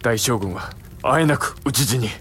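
An earnest samurai retainer and younger brother played by a man, medium in pitch. His delivery is formal, deferential and anxious, in period radio drama style, over light music. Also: moderate pace, a bit bright, natural voice. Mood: urgent. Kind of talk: Japanese period audio drama acting.

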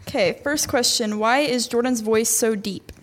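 A woman guest on a podcast, reading out listener questions in a soft, slightly nervous way. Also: on the high side, moderate pace. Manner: soft-spoken, a little nervous.

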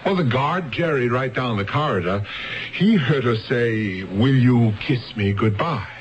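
An American actor in a radio drama playing a shrewd, coaxing police lieutenant, pressing and world-weary. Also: male voice, mid-range voice, lively intonation, measured pace, a little hiss or hum, close to an everyday voice. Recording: dull radio recording with music.

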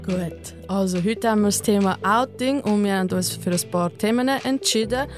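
A woman's voice: medium pitch, moderate pace, faint music behind. Frank young Swiss woman hosting. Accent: Swiss German. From Zurich, Switzerland. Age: 20s. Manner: frank, laughs easily, swears casually. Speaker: podcast host. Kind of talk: casual podcast chat.

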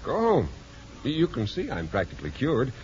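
A man's voice: medium pitch, huge pitch swings, moderate pace, a little mellow. An actor in a 1950s radio drama playing a wounded male air force major, playful and wistful. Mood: playful, fond. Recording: very noisy, muffled old radio broadcast.

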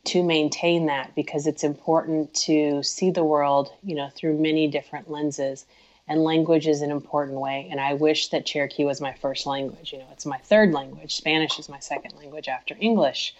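A woman's voice: low-pitched, steady tone, normal speed. A woman from Oklahoma, an author who is a guest on a podcast, explaining things in the way she would for students. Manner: warm, explanatory, like speaking to students. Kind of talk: podcast interview. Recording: dull lo-fi sound.

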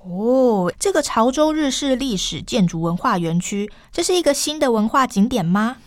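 A woman co-hosts a radio program with a Taiwanese Mandarin accent. Her voice is fairly high and the sound is close and dry. She presents in a prepared, conversational style. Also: animated, moderate pace, no echo.

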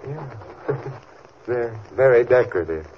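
An actor playing a wry, protective husband and amateur sleuth in vintage radio drama style, with a dry, wisecracking manner. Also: male voice, mid-range voice, animated, takes it slowly, husky. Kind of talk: 1940s-50s radio drama acting. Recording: dull, noisy old radio recording.

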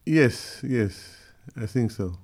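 An older man with a heavy Brazilian accent, answering interview questions in halting, direct English with frequent restarts. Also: mid-range voice, animated, a little mellow. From Syria. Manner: halting, restarts mid-phrase, plain direct phrasing. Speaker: guest.

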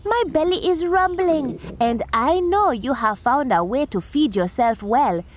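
Folktale tortoise, greedy and sly, high-pitched, very animated, wheedling, insistent. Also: female voice, a little slow, slight background noise. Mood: pleading, wheedling. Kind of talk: animated children's storytelling.

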